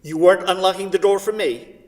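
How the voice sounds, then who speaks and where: An eager gentleman buyer, acted by a man, pleads with formal insistence in a staged play reading. The voice sits slightly high. It comes from some way off in a ringing theatre hall. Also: steady tone, fairly quick, natural voice. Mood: insistent. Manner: formal, insistent, repeating pleas. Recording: reverberant theatre hall, distant mic.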